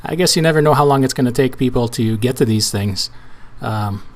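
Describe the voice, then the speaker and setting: Man, hoarse today, casual trucking-channel host; loose, restart-heavy tutorial delivery. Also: mid-range voice, fairly quick. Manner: casual, rambling with restarts and fillers. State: hoarse, weak voice. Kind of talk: screen-share tutorial walkthrough.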